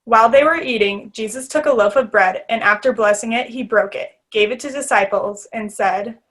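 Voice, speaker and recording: A woman's voice: a little high, normal speed, a bit bright. A woman reading scripted scripture narration as the narrator of a readers theater.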